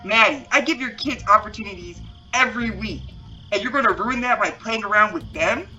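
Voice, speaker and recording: A woman's voice: medium pitch, a little fast, bright, forceful tone, faint music behind, close to an everyday voice, poor audio. A woman acting a bossy, scolding dance coach in a voiced roleplay series, emphatic and demanding. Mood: scolding, indignant.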